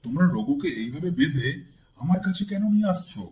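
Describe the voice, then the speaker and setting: A man's voice: on the high side, expressive, fast talker, dark tone, lightly acted. A man voicing a stern, indignant village landlord in a cartoon, imperious and exclamatory. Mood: shocked, questioning. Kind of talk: cartoon voice acting.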